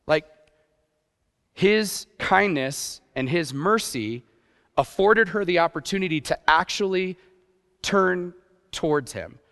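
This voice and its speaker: A youth pastor preaching a sermon in a conversational way, with rhetorical questions and playful asides. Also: male voice, fairly high pitch, a bit bright. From grew up partly in Japan. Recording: long hall reverb.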